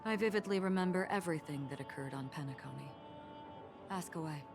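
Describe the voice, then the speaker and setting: An actress voicing a calm, forgetful young swordswoman in a game, speaking quietly and half-whispered. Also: deep voice, fairly quick. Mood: wistful. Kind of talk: game voice acting. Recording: game audio with music underneath.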